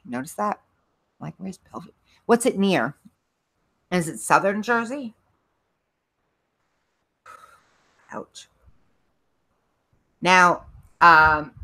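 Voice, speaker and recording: A woman's voice: deep voice, a bit bright, no room sound. A middle-aged woman from New York hosting a livestream, chatting casually, rambling between topics and reading out the chat with frequent laughs. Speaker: livestream host.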